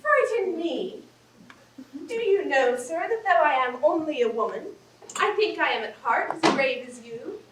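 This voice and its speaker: A woman's voice: high voice, big pitch swings, a little slow. A woman on stage playing a proud, romantic young lady in a classic comedy, speaking formally and with indignation. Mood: haughty, indignant. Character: proud, romantic young Bulgarian lady, haughty yet generous. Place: theatre stage, recorded from the audience. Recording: roomy, distant stage recording with hiss.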